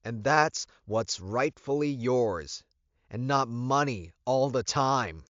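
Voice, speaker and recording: A man's voice: mid-range voice, lively intonation, slow, fairly bright tone, dry recording, pitched above the performer's own voice. A man voicing a commanding, short-tempered male werewolf alpha in an audiobook, emphatic and authoritative. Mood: angry, scornful. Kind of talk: audiobook character voice. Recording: muffled, dull recording.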